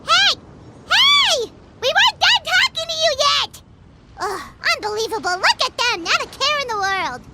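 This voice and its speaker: A woman voicing a tiny, cheeky, excitable childlike floating companion in a video game. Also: very high voice, a little slow, slight background noise, heavily acted. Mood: indignant. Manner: refers to self in third person, exclamatory. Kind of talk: animated video game voice acting.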